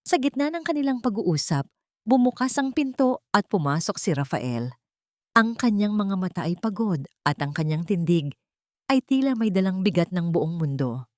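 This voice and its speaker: A woman's voice: medium pitch, very animated, moderate pace, darkish tone, no room sound, clean studio sound. A woman storyteller reading a scripted story in a dramatic, suspenseful manner. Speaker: storyteller, narrator.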